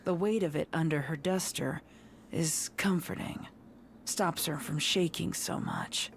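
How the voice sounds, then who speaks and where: A woman reading a scripted horror story as narrator, measured and suspenseful in her dramatic delivery. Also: low-pitched, creaky, slight background noise.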